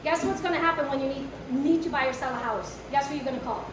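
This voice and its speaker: A woman with a foreign accent and a high voice runs a live workshop and talks to the room in a blunt, brash way. Her voice is set far from the mic, with echo and noise in the room. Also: fast. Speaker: real estate marketing trainer. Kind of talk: live workshop talk to an audience. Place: training room with a live audience.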